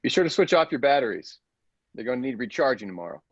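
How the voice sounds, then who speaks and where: A man's voice: medium pitch, big pitch swings, fast, husky, no echo. A man playing an inventor's earnest, persuasive assistant in an old-style radio play, with a theatrical, urging manner. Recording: dull lo-fi, dry sound.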